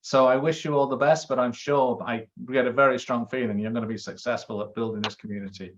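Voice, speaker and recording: A friendly man interviewing a new network member, working toward each question through fillers and small restarts, with an easy laugh. His voice sits at an ordinary middle pitch, and the video-call sound is a little dull and lo-fi. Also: fairly even intonation, quick pace. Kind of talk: interview conversation.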